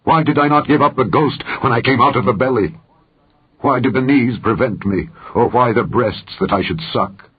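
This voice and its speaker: A man's voice: medium pitch, normal speed, voice pushed up, natural voice. A man reading the part of an anguished, grieving man lamenting his life in a solemn, declamatory, mournful manner. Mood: pleading, anguished. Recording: tinny, phone-like narrow-band recording.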